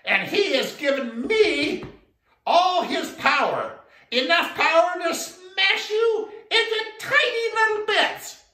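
Storyteller as tiny bold frog, high-pitched, shouting, echoey room. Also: lively intonation, slow, far from the mic, heavily acted. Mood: bold, boastful. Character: brave tiny male frog, cocky and loud.

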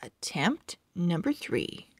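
A woman reading a children's storybook aloud as host, expressively and with deliberate phrasing. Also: fairly low pitch, breathy edge. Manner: deliberate, animated phrasing for young readers.

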